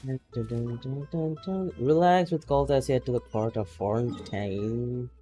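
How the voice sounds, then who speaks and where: Male game streamer, mellow, casual and playful, short remarks over faint music. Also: mid-range voice, unhurried. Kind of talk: casual live game commentary.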